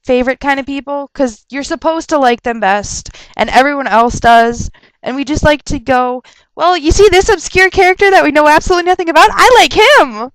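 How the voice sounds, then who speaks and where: A young woman rambling casually on a webcam vlog, chatty and quick to laugh and rant, her nose stuffed up from a cold. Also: high-pitched, fairly bright tone, no echo, poor audio. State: stuffed up with a sore throat. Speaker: vlogger answering viewer questions.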